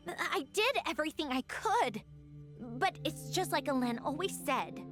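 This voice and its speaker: A woman's voice: sits high, lively intonation, takes it slowly. A woman playing a grieving, bitter young woman in a video game, wistful and self-mocking, with dramatic delivery. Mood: mournful. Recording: game dialogue under clear music.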